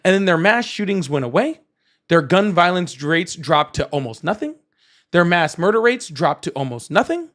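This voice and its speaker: A man's voice: sits high, big pitch swings, normal speed, a bit bright. A man hosting a live political call-in stream, arguing his point in casual debate and tagging "right?" and "okay?" onto his sentences. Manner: argumentative, keeps adding "right?" and "okay?".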